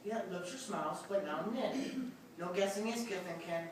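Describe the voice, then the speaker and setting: A woman's voice: fairly low pitch, expressive, moderate pace. A woman reciting narrative verse in a readers theatre performance. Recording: distant, very echoey room, faint noise.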